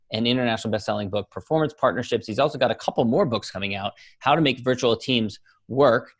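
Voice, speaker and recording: A man's voice: on the low side, no room sound. Man hosting a podcast, dry close sound. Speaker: podcast host. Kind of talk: podcast introduction and conversational interview.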